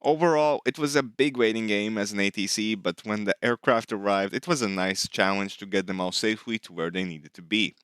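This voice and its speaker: A man with a fairly low, clearly bright voice narrates a scripted gameplay recap. The recording is dry and close, with very little room sound. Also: brisk, hardly any pauses, no room sound. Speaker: video narrator, flight sim hobbyist.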